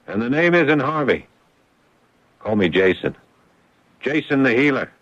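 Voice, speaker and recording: An actor playing a wry, disillusioned former executive who turned healer, speaking deliberately in a radio drama. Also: male voice, medium pitch, big pitch swings, fairly bright tone, no room sound. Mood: quietly resolute. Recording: dull, dry old radio broadcast.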